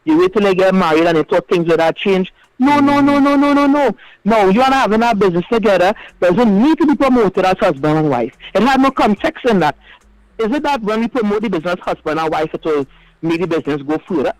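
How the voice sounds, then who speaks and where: A Trinidadian man with a loud, big voice and a high pitch for a man, pressing his points emphatically. His voice sits dry and close to the mic, with no room around it. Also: lively intonation, brisk, no echo, rough recording. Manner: emphatic, insistent. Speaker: co-host. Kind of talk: casual radio chat.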